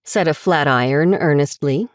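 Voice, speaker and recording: Lower-pitched woman narrating. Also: normal speed, dry recording, clean studio sound. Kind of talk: scripted audiobook narration.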